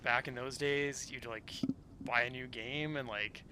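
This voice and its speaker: A man's voice: mid-range voice, fairly even intonation, measured pace, bright, forceful tone, a little hiss or hum. A man livestreaming a game, chatting casually in an easygoing, slangy, conversational way. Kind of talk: casual chat while gaming. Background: game music.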